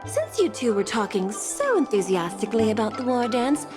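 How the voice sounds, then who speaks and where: A woman's voice: fairly high pitch, very animated, normal speed. A woman voicing a polite, poised court secretary in a video game cutscene, speaking in a courteous and formal way. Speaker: palace secretary. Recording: game cutscene over music. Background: background music.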